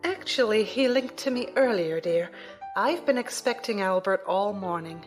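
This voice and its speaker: Mid-pitched female voice, older mother figure, played by a woman, caring, composed, audio drama, faint music. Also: animated, normal speed, close to an everyday voice. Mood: pleased, wry.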